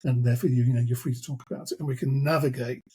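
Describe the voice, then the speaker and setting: A man interviewing a guest, rambling and building his questions slowly with lots of fillers. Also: medium pitch, steady tone, mellow, breathy edge.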